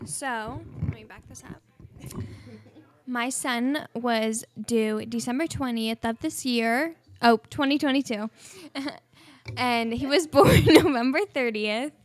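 A woman's voice: a little high, takes it slowly. A woman in her early twenties co-hosting a casual podcast, telling a story candidly with bits of laughter. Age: 20s. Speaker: podcast co-host. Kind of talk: casual chat.

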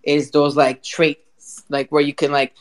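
A man's voice: on the high side, monotone, measured pace. A man hosting a casual live voice chat, talking in an informal, rambling way and cutting into his own sentences. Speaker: host.

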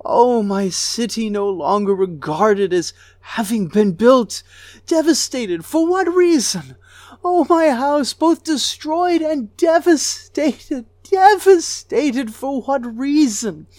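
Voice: medium pitch, animated, slow, a little mellow, no echo. A reader voicing a grieving goddess queen of a ruined city, lamenting with a voice that breaks into sobs. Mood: wailing grief. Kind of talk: dramatic recitation of an ancient lament.

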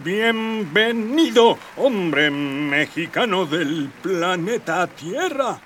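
An imperious alien emperor in a radio drama. His high voice rises and falls extravagantly as he makes slow, pompous proclamations. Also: expressive, a bit bright, slight background noise, full character voice. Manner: pompous, ceremonial.

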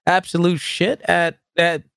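A man's voice: high voice, level tone, unhurried, gravelly, a bit bright, clean tone, no echo, clean studio sound. A man in his early thirties, a streamer chatting casually and rambling, often laughing and poking fun at himself. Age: 30s. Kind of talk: casual chat.

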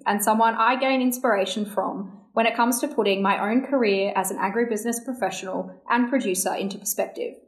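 A woman with a mid-pitched voice hosts an interview podcast. Her phrasing is formal and careful, like a prepared introduction. The sound is roomy, with clear echo around her words. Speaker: podcast host interviewing a guest. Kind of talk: scripted introduction and interview questions.

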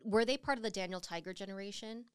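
A woman's voice: medium pitch, quick pace, smooth, dry recording, clean studio sound. A woman in her thirties co-hosting a casual podcast chat, often hesitant and searching for words as she talks. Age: 30s. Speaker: podcast co-host.

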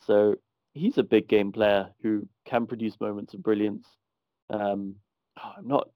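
A man with an Irish accent giving measured, analytical views in a casual football podcast chat. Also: fairly low pitch, no room sound, dull audio. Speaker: podcast panelist.

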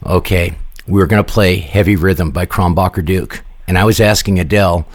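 A man's voice: low voice, fairly even intonation, fairly quick. A man hosting a podcast in a casual, joking, rambling interview style with a playful, wry manner. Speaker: podcast host.

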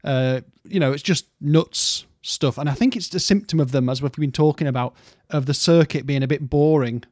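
A man's voice: on the high side, normal speed, darkish tone, no room sound, studio quality. A man co-hosting a casual music history podcast, rambling through stories in a playful way.

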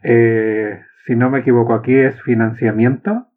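A man from Chile with a Chilean accent, hosting a casual conversation and asking curious, relaxed questions. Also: mid-range voice, normal speed. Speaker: podcast host. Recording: tinny phone-like line.

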